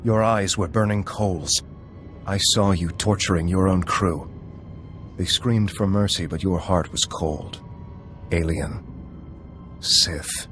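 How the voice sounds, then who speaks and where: A man's voice: low voice, slow, long pauses, fairly bright tone, soft background music. An actor playing an earnest, agitated Jedi in a video game, accusing and dramatic in his delivery. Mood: grave, ominous. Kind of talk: cinematic video game acting.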